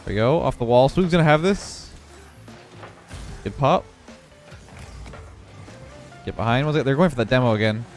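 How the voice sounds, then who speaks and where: Young male gaming creator, congested, casual play-by-play over soft game music, mid-pitched. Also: normal speed, long pauses. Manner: relaxed running commentary on his own play. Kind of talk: live gameplay commentary.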